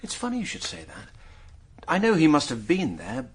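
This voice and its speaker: An actor playing a down-at-heel, once genteel British village drinker in a radio drama, sardonic, hesitant and defensive. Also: male voice, fairly high pitch, huge pitch swings, moderate pace, husky, exaggerated voice. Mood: rueful, reminiscing.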